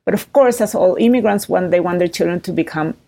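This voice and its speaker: A woman with a Chilean accent speaks in a low, gravelly voice. She is a founder talking in an interview, lively and full of fillers and rising tags. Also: fast. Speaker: startup founder. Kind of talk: interview conversation.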